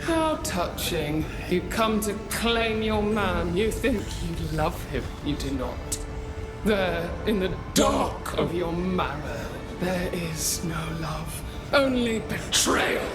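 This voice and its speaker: An actress playing a vengeful, scornful female ghost in a video game cutscene, with a slow, taunting, theatrical delivery. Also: on the low side, animated. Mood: scornful, menacing. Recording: roomy echo under loud music.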